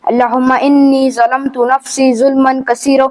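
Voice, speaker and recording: A woman reciting memorized prayers aloud at a steady, even pace. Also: sits high, normal speed.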